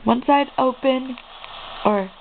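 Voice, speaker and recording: Raspy, creaky high-pitched let's player, casual gameplay commentary. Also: slow. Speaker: let's play host. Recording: muffled phone-like audio, clear noise, clicks.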